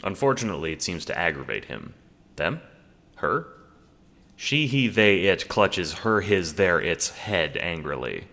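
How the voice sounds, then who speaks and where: Deep, gravelly man narrating with long reverb. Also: very animated, sharp tone. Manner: theatrical, deliberate storytelling. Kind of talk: scripted audio drama narration.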